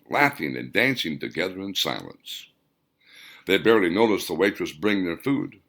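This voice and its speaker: Expressive low-voiced man narrating a novel. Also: creaky. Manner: dramatic, expressive storytelling. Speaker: author reading own novel. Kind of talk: scripted audiobook narration.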